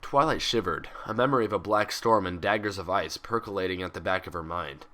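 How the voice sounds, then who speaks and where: A man's voice: deep voice, a little fast, sharp tone. A man reading a story aloud as a scripted narration. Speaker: fan fiction reader and host.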